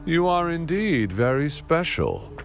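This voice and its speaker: A man's voice: a little high, huge pitch swings, slow, creaky, heavily acted. A man playing a menacing, gloating villain in a video game, with a theatrical, taunting delivery. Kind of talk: scripted game voice acting. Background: music.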